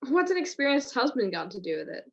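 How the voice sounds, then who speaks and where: A woman playing a sharp-witted, affectionate detective's wife in a 1940s-style radio mystery. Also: high voice, big pitch swings, fast. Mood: teasing, admiring. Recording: dull lo-fi video-call audio.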